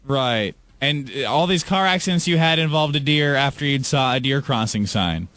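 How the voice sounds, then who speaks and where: A man hosting a live call-in radio show, talking with a listener in a patient, polite, measured way. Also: mid-range voice, expressive, fairly bright tone, dry recording, faint music behind. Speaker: radio DJ host. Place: radio studio.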